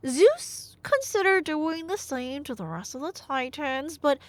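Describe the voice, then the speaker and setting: Female storyteller, high voice, unhurried delivery, expressive and wry, for a casual dramatic myth retelling. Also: no echo. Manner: expressive, conversational, wry asides.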